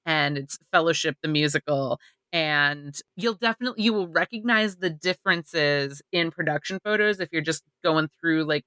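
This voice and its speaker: A woman's voice: low-pitched, sharp tone, no echo. A woman co-hosting a podcast in casual panel chat, with a playful, joking way of talking. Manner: playful, jokey. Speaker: podcast co-host.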